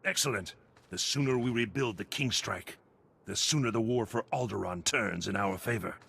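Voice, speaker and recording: An earnest undercover operative from a sci-fi game. His low voice is gravelly and rough-edged, and he briefs with guarded, precise urgency. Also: moderate pace, fairly bright tone, heavily acted. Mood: earnest, approving. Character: male Republic intelligence agent undercover, urgent, secretive, earnest. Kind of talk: video game voice acting.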